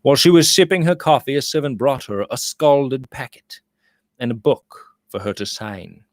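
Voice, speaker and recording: A man reads a classic novel aloud with theatrical flair. His pitch is moderate and moves up and down freely. The sound is close and dry. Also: expressive, normal speed, no room sound. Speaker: audiobook reader. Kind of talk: expressive narration of a Victorian novel.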